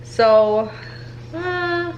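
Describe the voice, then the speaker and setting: A woman's voice: very high-pitched, animated, drawn-out words, highs cut off. A young woman vlogging casually from her home kitchen, upbeat and rambling, a little congested from a head cold. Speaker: homestead cooking vlogger. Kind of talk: casual kitchen vlog chat. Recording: echoey kitchen, faint room noise.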